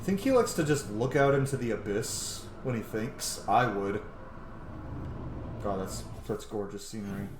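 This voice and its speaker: Animated male gamer commentating in an echoey room. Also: fairly low pitch, a little slow. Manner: informal, sweary, thinking aloud. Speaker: gaming video host. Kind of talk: casual let's play commentary. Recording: echoey room with faint background noise.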